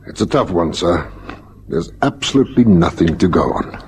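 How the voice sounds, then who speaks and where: An actor with a South African accent playing a brooding, probing police lieutenant in a radio crime drama, ruminative yet pressing. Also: male voice, deep voice, sing-song, moderate pace, a little mellow, faint music behind. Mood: grave. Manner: ruminative, hesitant, then pressing.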